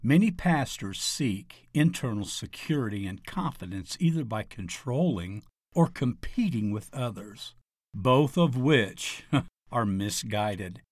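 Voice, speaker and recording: A man narrating a scripted question-and-answer article, reading deliberately and announcing each part as an article reader. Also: fairly low pitch, lively intonation, dry recording, studio quality.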